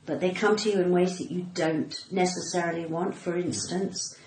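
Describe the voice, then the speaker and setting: A woman's voice: on the low side, steady tone, normal speed, a little mellow. A middle-aged woman with a well-spoken, cut-glass English accent, chatting casually and telling anecdotes in an emphatic, cheeky way. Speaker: podcast guest, comedian. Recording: roomy echo, light background noise.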